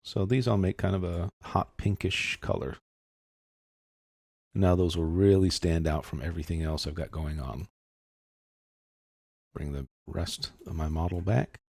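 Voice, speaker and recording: A man narrating a software tutorial in a casual, step-by-step explaining manner. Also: deep voice, steady tone, a little slow, vocal fry, long pauses, dry recording, clean studio sound. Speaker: software instructor.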